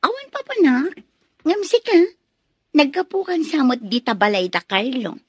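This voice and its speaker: Actress as high, swooping nosy village gossip. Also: female voice, huge pitch swings, moderate pace, dry recording. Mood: prying, suspicious. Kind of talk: radio drama acting.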